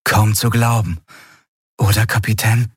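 A man's voice: fairly low pitch, flat delivery, measured pace, slightly rough, no room sound, clean studio sound, pitched above the performer's own voice. A man voicing a pale young crew member who talks in an eager, chatty way.

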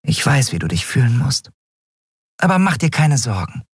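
A man's voice: mid-range voice, very animated, normal speed, slightly rough, no echo, clean studio sound, voice pushed up. A man voicing a tiny fairy-like woman in an audiobook, cheerful, friendly and reassuring. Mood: sympathetic.

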